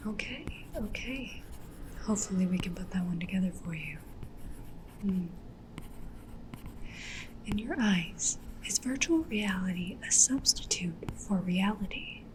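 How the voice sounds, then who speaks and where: Female, breathy whisper, mid pitch. ASMR matchmaker interviewer, gentle and attentive, with clear ambient noise and writing sounds behind. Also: expressive. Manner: gentle, unhurried, attentive questioning. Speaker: ASMR roleplay performer. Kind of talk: soft-spoken whispered ASMR roleplay interview. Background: sci-fi ambience, writing sounds.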